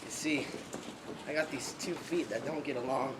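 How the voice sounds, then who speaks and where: A low-voiced performer playing a shy, kind-hearted country man who stammers hesitantly in a stage play. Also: moderate pace, heavy background noise. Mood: bashful, earnest.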